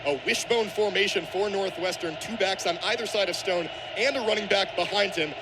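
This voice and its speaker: A man's voice: sits high, a little fast. A young man doing live football play-by-play on the radio as the main commentator. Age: young adult. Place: outdoor football stadium broadcast. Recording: stadium broadcast with loud crowd-like ambient noise.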